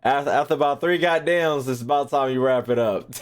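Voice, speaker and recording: Animated man giving slangy reaction commentary. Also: fairly high pitch. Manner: slangy, profane, incredulous asides. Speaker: streamer reacting to game lore.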